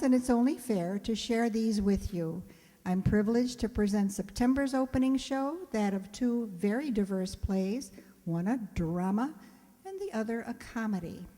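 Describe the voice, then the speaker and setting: A woman's voice: fairly high pitch, mellow. An older woman giving a prepared introduction as the presenter of a radio players' show. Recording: long hall reverb.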